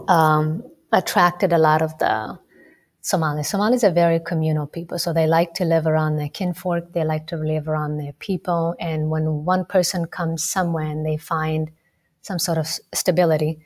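A woman in her thirties from Kenya, a medical student answering interview questions in a measured, explanatory way. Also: deep voice, steady tone, darkish tone. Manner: measured, explanatory, with occasional fillers. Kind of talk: radio interview conversation.

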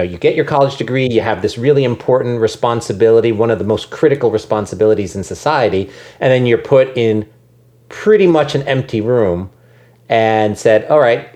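A man's voice: lowish voice, a little mellow. A man being interviewed, speaking thoughtfully with frequent ums and restarts. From United States. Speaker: guest, education nonprofit leader. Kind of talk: conversational interview.

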